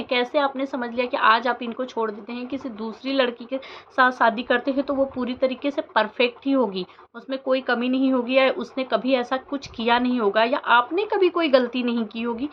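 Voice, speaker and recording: Earnest young woman asking rhetorical questions. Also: sits high. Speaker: vlogger. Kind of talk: emotional commentary to camera. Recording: dull lo-fi sound with faint background noise.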